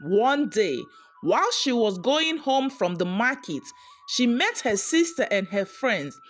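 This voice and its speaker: A woman's voice: fairly high pitch, very animated, slow, a little hiss or hum. A woman narrating a scripted folktale as a storyteller.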